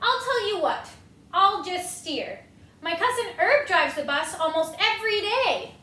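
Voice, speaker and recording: A woman voicing a pleading, scheming, excitable storybook pigeon with a dramatic, drawn-out delivery. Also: very high-pitched, voice pushed up, heavily acted. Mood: wheedling. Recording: roomy home recording, some noise.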